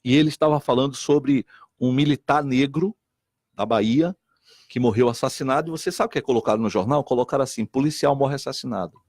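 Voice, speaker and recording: A man's voice: medium pitch, fast, dry recording, studio quality. A middle-aged man from Recife, a state deputy and missionary pastor talking earnestly and repeating himself. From Recife, Brazil. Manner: earnest, repeats himself, quotes others in lively voices. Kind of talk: animated talk-show conversation.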